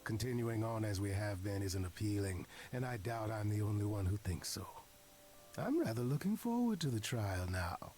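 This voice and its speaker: A man's voice: lowish voice, very animated, normal speed, a little mellow, slight background noise. An actor voicing a mischievous male trickster god in a video game, teasing and theatrical with eloquent phrasing. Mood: wry, persuasive.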